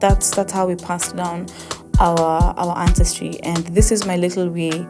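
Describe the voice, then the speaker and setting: A young woman with an East African accent, talking casually and reflectively as a host and poet, expressive and often repeating herself as she searches for words. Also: fairly low pitch, unhurried, loud music behind the voice. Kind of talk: casual reflective monologue with code-switching.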